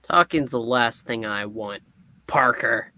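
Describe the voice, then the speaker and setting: A man voicing a sullen, dismissive former high school jock in a comedic comic book reading, speaking tersely. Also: slow pace, bright, forceful tone, dry recording.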